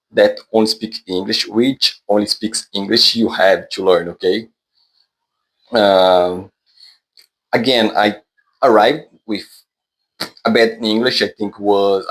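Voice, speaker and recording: Male vlogger, lowish voice, Brazilian accent, Portuguese native; casual unscripted delivery, feels his way through sentences and corrects himself. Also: fairly bright tone, poor audio. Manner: hesitant, halting, self-correcting non-fluent English. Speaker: vlogger, English learner. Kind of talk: unscripted casual monologue to viewers.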